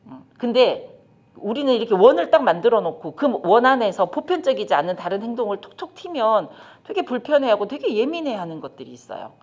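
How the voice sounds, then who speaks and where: A woman's voice: fairly high pitch, brisk. A middle-aged woman, giving a training lecture to caregivers and putting questions to the audience. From South Korea. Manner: lively, talks straight to the audience with questions. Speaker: lecturer on child development. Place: lecture room.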